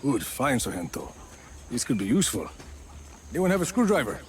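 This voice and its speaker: An actor playing a cool, terse, resourceful action hero, dry and decisive, in a game cutscene. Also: male voice, mid-range voice, very animated, fairly quick, slight background noise, lightly acted. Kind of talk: video game cutscene acting.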